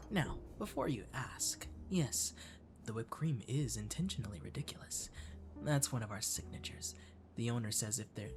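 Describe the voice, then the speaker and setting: Man playing a soft, whispery catboy waiter. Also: medium pitch, close to an everyday voice. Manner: soft-spoken, drifting into whispers. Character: attentive male catboy cafe waiter, gentle, playfully flirty. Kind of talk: ASMR roleplay.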